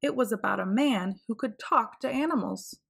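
Children's storybook narrator: woman, slightly high voice, expressive read-aloud delivery. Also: moderate pace, vocal fry, breathy edge. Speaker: children's picture book reader.

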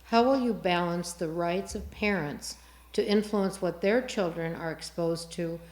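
A woman's voice: on the low side, unhurried, a little mellow. A woman moderating a candidate forum, formally reading out questions in a roomy studio with some echo. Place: public access TV studio.

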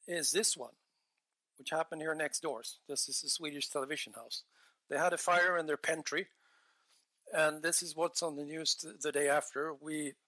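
Bright-voiced man presenting at a conference. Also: on the high side, dry recording. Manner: earnest, animated gestures, frequent restarts. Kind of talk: technical conference talk. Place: large conference hall. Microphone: headset mic.

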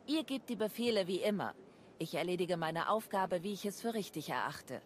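A grave, independent-minded female adviser acted by a woman in a video game. She speaks in a measured, fairly low voice over a faint rumble. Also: lively intonation, natural voice. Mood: grave, composed. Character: composed, serious woman adviser, loyal yet independent. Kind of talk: video game cutscene acting.

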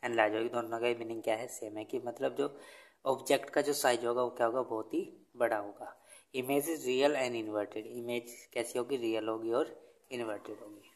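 A man teaching in Hindi mixed with English, answering his own questions, and reading English lines out slowly. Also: mid-range voice, fairly even intonation. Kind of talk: Hindi-English tutorial over notebook. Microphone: phone camera over notebook.

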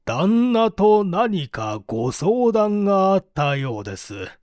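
A man voicing a humble, deferential village manservant in his forties, testifying haltingly in a mystery audiobook. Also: sits high, sing-song, darkish tone, dry recording, studio quality, exaggerated voice. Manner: slow, halting, measured testimony. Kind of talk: audiobook reading of a vintage mystery.

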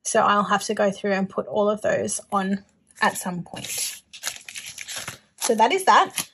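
A woman's voice: medium pitch, measured pace, background noise. A young Australian woman chatting casually and informally as she shows off a shopping haul, with a gushing manner. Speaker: planner haul vlogger. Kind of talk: casual chatty product show-and-tell.

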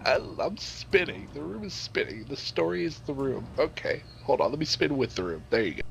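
A man's voice: mid-range voice, very animated, a little fast, creaky, fairly bright tone, a little hiss or hum, poor audio. A man improvising a crude comic story in a rambling, profane, theatrical way.

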